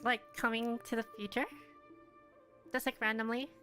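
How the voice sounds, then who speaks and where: A woman's voice: a little high, little pitch movement, moderate pace, bright, forceful tone, soft background music. A woman doing casual game commentary, thinking aloud in a hesitant, rambling way. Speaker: game streamer.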